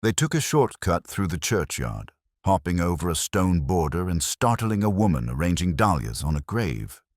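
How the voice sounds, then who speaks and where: A man narrating a mystery story in a wry, measured, descriptive way. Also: low-pitched, very animated, a little mellow, dry recording, clean studio sound.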